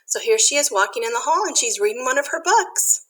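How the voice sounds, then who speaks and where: A woman reading a children's picture book aloud in an animated, sing-song way, with chatty asides to young listeners like an elementary school teacher. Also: high-pitched, brisk, background noise.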